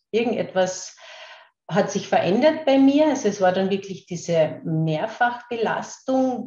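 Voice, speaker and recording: Slow, halting Carinthian woman on a call. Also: medium pitch, darkish tone. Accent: Carinthian Austrian German. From Carinthia, Austria. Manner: slow, halting, searching for words. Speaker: guest, kinesiologist. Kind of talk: casual interview talk. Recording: roomy, dull remote call audio.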